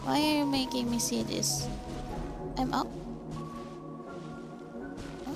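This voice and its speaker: A woman comments casually on a game as she plays. Her voice is high and heavily creaky, with blunt, puzzled, slowly paced reactions over loud game music. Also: steady tone. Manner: bemused, blunt, swears in short reactions. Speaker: gaming streamer.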